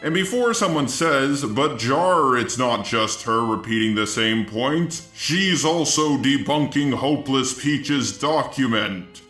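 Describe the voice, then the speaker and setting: A man's voice: mid-range voice, expressive, takes it slowly, hardly any pauses, fairly bright tone. Male, text-to-speech robot, scripted commentary, mechanical delivery, echoey, music behind. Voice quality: synthetic robot voice. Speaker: host of an online commentary channel. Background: background music.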